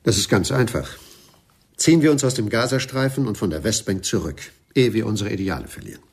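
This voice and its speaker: A man's voice: on the low side, expressive, fairly quick, husky. An actor playing an idealistic, stubborn male professor in a German radio play, speaking in an articulate, lecturing manner. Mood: earnest, indignant.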